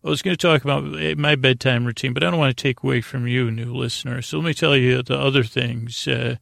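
A man hosting a bedtime podcast in a rambling, meandering monologue, often circling back and losing his thread, with a creaky voice. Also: medium pitch, fairly even intonation, quick pace, a bit bright, no echo, clean studio sound. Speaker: podcast host.

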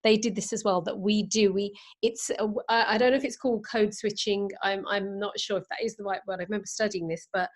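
A woman's voice: medium pitch, steady tone, fast talker, hardly any pauses. British woman hosting a casual interview. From United Kingdom. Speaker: podcast host.

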